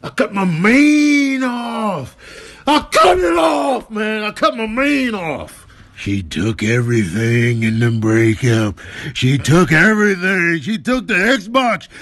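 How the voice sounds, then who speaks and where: A man's voice: high-pitched, sing-song, a bit bright, dry recording, heavily acted. A man voicing a whiny, lovelorn male lion in a comedic animal voiceover, pleading and drawing out his words. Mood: heartbroken. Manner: pleading, drawn-out wailing.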